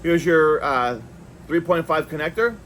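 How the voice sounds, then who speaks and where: A man giving a casual hands-on product review, talking in a halting way and repeating words as he goes. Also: fairly high pitch, fairly bright tone, slight background noise.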